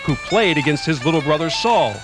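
A man narrates a season's basketball highlights in a steady, scripted news voice-over. His voice sits a little high for a man. Loud music plays under him the whole time. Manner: steady, measured delivery. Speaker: news sports reporter.

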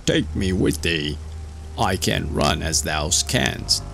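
A storybook talking frog, acted by a man, pleading and bargaining in measured, old-fashioned speech. The delivery is slow and very gravelly, over faint music. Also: deep voice, animated, a bit bright, exaggerated voice. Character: pleading male talking frog from a fairy tale.

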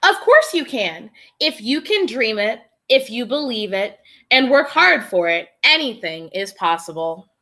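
A kind mother in a storybook, voiced by a woman reading aloud. She is reassuring and emphatic, speaking fairly high with big, animated swings and a bright tone. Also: measured pace, few pauses, heavily acted. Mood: encouraging. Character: kind, encouraging mother of a small girl.

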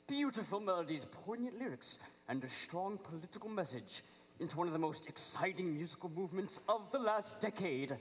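A teenage male actor playing a showy young celebrity presenting an award with dramatic pauses. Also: a little high, slight background noise, poor audio. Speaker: actor in a high school play. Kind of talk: award presenter speech on stage. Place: theater stage at podium.

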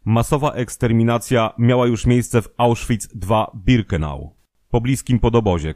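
A man reading a scripted documentary narration in a measured, formal manner. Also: medium pitch, dark tone, dry recording, clean studio sound.

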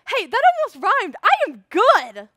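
Young woman as pompous, high-voiced indignant schoolboy. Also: wildly swooping pitch, normal speed, sharp tone, no room sound, studio quality, exaggerated voice. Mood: grandiose, appalled. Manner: pompous, theatrical, emphatic. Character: indignant, bluffing schoolboy giving an unprepared oral report. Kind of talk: competition stage monologue.

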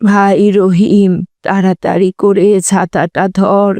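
An ailing elderly village grandmother played by a woman in a cartoon. She talks very slowly and wearily, in a mid-pitched voice. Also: level tone, darkish tone. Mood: weary, unwell. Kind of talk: cartoon voice acting.

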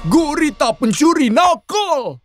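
A jolly old Santa shark, cartoon, hearty laugh, lively swooping voice, music underneath. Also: male voice, very high voice, slow pace, exaggerated voice. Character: jolly Santa shark, older male, booming ho-ho. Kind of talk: cartoon voice acting. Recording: bright cartoon voice with loud music.

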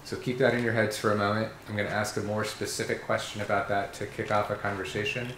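A man's voice: fairly low pitch, flat delivery, fast talker, fairly bright tone, slight background noise. A middle-aged man facilitating a university student panel, friendly and organized, with frequent "uh" fillers, recorded in a seminar room by a distant microphone. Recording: echoey room.

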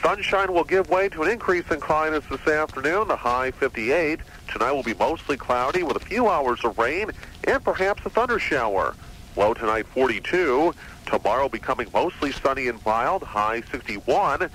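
Lively male radio meteorologist, noisy tape. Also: medium pitch, moderate pace. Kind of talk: scripted radio weather forecast.

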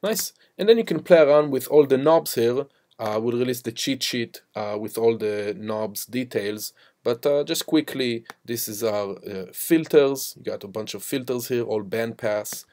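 A man teaching music production, walking through software steps in an informal, instructional way. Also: medium pitch, a little slow. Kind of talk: step-by-step software tutorial. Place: voice-over to a screen recording.

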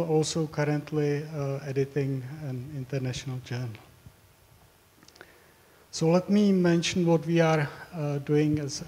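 Man, 50s, professor lecturing, halting delivery with lots of fillers, flat mellow voice, long hall reverb. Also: on the high side. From Czech Republic. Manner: hesitant, with frequent fillers and repeated words. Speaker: professor giving a research talk. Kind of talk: academic presentation.

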